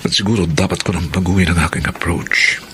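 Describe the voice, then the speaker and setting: Smooth filipino suitor in radio drama, really low voice, polite, noisy ambience behind. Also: male voice, a little fast, pushed and bright. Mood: musing. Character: smooth, persistent, courteous male suitor. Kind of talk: radio drama acting and monologue.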